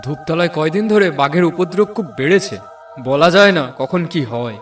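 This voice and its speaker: A man's voice: fairly high pitch, lively intonation, a little fast, distant mic, faint music behind, close to an everyday voice. A man playing a cautious village neighbour in a horror cartoon, sounding slightly off the microphone. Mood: concerned, warning. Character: cautious village neighbour, like a younger brother-in-law. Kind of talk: Bengali cartoon voice acting.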